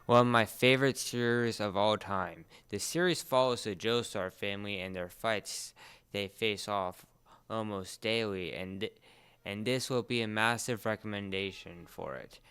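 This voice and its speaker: A young man hosting a podcast in a casual, halting way, often repeating his words. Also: fairly low pitch, a bit bright, smooth. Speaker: podcast host. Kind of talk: casual podcast talk introducing a series.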